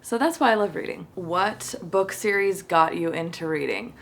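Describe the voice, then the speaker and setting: A woman's voice: fairly low pitch, normal speed, gravelly, a bit bright. A young woman chatting casually to camera about books, bubbly and rambling with lots of fillers. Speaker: book vlogger.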